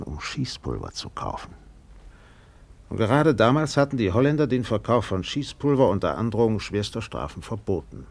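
Guy narrating a radio play, lowish voice, reading literary prose.

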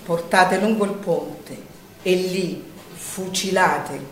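A woman's voice: fairly low pitch, slow pace. A woman performing a declamatory theatrical narration. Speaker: stage performer. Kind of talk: theatrical storytelling on the wartime Resistance. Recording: echoey stage, distant mic, faint noise.

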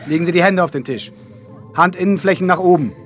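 An actor playing a stern, by-the-book police officer in a radio drama, with a clipped official manner. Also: male voice, sits high, fast, faint music behind, close to an everyday voice. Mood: commanding.

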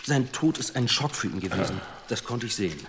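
An actor playing a shrewd, deferential senior police commissioner in a radio drama, measured, formal and dryly witty. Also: male voice, medium pitch, expressive, background noise, natural voice.

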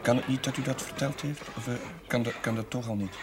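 Actor as earnest chaplain, noisy old recording. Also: male voice, mid-range voice, fast, natural voice. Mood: careful, pleading. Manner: hesitant, formal, deferential. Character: earnest, troubled village chaplain, polite and hesitant. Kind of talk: television period drama acting. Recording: noisy old television soundtrack.

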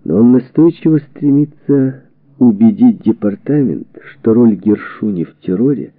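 A man reading a historical book as a scripted narration, in measured, deliberate phrases. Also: mid-range voice, no echo. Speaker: audiobook narrator. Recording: narrow phone-like band, radio-like sound.

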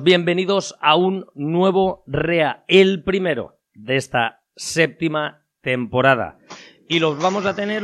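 Higher-pitched Spanish man hosting a podcast. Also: fairly bright tone. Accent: Spain Spanish. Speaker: podcast host. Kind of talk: casual spoken introduction to a round-table chat.

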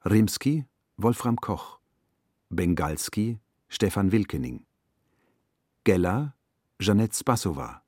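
A man reading a formal scripted announcement in a sober manner, with clearly separated phrases. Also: fairly low pitch, darkish tone, no room sound, studio quality.

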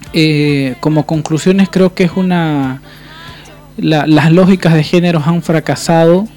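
A man's voice: on the high side, warm tone. A man with a Bolivian Spanish accent co-hosting a radio panel, speaking off the cuff in an opinionated, reflective way. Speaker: radio show co-host. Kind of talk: unscripted radio panel talk. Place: radio booth.

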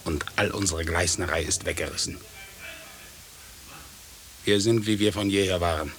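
An actor playing a stern, tormented husband with a grave, urgent, threatening manner in an old radio drama. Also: male voice, deep voice, moderate pace, fairly bright tone. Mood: bleak, solemn. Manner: grave, intense, theatrical. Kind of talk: mid-century German radio drama acting. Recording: hissy vintage 1950s radio recording.

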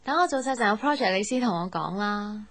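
A young woman in a radio drama, with a mid-pitched voice. She is sensitive and a little insecure, speaking over clearly audible music. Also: expressive, quick pace, fairly bright tone, dry recording. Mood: polite. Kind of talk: Cantonese radio drama acting with inner monologue.

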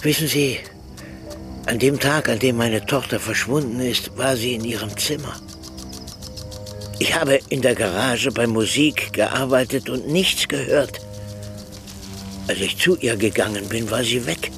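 A man's voice: medium pitch, measured pace, long pauses, fairly bright tone. Bitter, grieving old man. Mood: subdued, recounting. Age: older. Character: grieving, frail old former reverend, bitter and lonely. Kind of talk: German radio play acting. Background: music.

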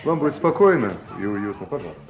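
An actor playing a smooth, pushy, sociable deputy boss with a persuasive manner in a vintage radio play. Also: male voice, mid-range voice, very animated, fast, highs cut off, faint music behind. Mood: courteous. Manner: ingratiating, persuasive, familiar. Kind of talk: Soviet radio play acting.

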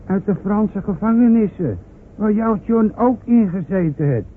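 An actor playing a timid, bumbling old villager who stammers and hesitates, in a radio drama. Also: male voice, sits high, animated, unhurried. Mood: urgent, earnest. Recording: tinny, noisy old radio recording.

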